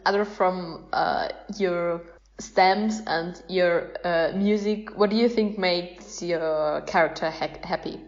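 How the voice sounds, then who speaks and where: A woman's voice: lowish voice, fairly even intonation, slow, lo-fi sound. A woman hosting a podcast, asking questions in a casual interview chat. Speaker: podcast host interviewing cast members.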